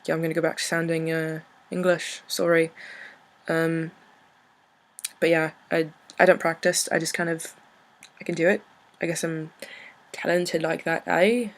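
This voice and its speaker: Vocal-fry young vlogger rambling to camera. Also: medium pitch, little pitch movement, a little slow, long pauses. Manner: rambling, filler-heavy, self-deprecating. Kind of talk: casual to-camera chat.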